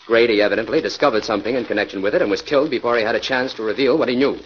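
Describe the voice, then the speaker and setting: An actor playing a self-assured, decisive crime-fighting sleuth in a 1940s radio drama, speaking in a brisk, commanding manner. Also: male voice, medium pitch, close to an everyday voice. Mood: deliberate, confident. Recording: muffled, noisy 1940s radio recording.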